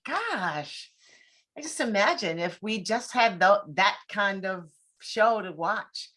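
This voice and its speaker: A woman's voice: medium pitch, expressive, slow pace, pushed and bright. A woman hosting a conversational interview show, speaking in an effusive, encouraging way.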